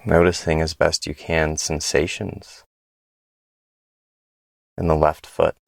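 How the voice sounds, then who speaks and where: Man, meditation guide, very slow delivery, gravelly low-end creak, gentle phrasing with long pauses. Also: little pitch movement, no echo, clean studio sound. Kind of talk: guided body scan meditation.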